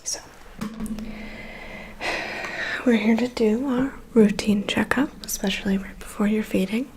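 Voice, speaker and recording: A woman playing a calm, prying vampire's assistant in an ASMR roleplay, murmuring dry asides and reassurance in a breathy whisper. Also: on the high side, normal speed, a little hiss or hum, lightly acted. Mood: calm, wry. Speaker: ASMR performer. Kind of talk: ASMR roleplay, personal attention.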